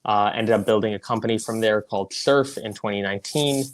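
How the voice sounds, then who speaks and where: A man's voice: lowish voice, brisk. A man in his twenties from Singapore, a guest on a podcast, talking in an easygoing, conversational way. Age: 20s. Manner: easygoing, conversational, uses "like" and "you know". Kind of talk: casual interview.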